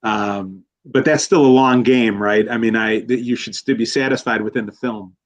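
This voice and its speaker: Brief: man, middle pitch, rambling podcast guest, slightly dull lo-fi sound. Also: steady tone, normal speed. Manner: rambling, many restarts and fillers. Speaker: guest commentator. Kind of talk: casual podcast discussion.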